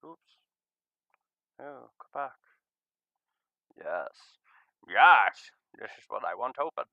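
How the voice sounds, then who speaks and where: A man's voice: mid-range voice, very animated, takes it slowly, gravelly, no echo, lo-fi sound. A man hosting a casual livestream, chatting loosely and playfully, sometimes murmuring in singsong to himself. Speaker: livestream host of a visual novel reading. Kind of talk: casual livestream chat while waiting to start.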